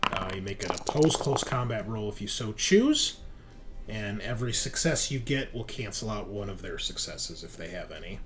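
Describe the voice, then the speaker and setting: A man's voice: fairly low pitch, lively intonation, normal speed, music in the background. A man speaking as game master, explaining tabletop roleplaying rules. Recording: clearly noisy background.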